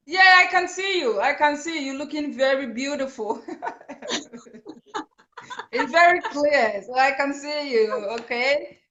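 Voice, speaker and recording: A woman hosting a live-streamed talk show, excitable and quick to laugh, with many fillers. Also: high-pitched, dull audio. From Ghana. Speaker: host of an online talk show. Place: home in Brisbane. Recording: echoey room.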